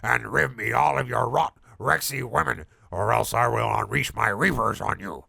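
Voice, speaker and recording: A man voicing a sinister, gloating male villain dog with a slow, booming delivery and an evil cackle, in a comedic fanfic reading. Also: gravelly, sharp tone, husky, no echo, clean studio sound, exaggerated voice. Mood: menacing.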